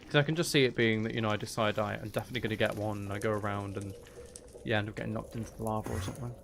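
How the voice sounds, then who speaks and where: A man's voice: on the low side, fairly even intonation, racing, gravelly, fairly bright tone. Man thinking aloud over gameplay, noisy background. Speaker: gaming video host. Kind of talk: casual gameplay commentary, talking to self.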